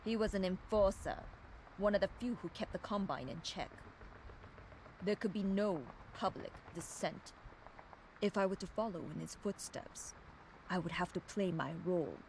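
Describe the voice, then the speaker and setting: A woman's voice: medium pitch, normal speed, a little mellow, a little hiss or hum, lightly acted, poor audio. An actress playing a proud, fierce young woman enforcer, delivering a solemn, controlled dramatic monologue for a video game audio log. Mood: grave, reflective.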